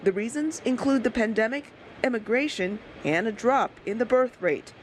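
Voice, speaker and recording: A woman reporter reading scripted news narration in a measured, even delivery. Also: a little high, slight background noise.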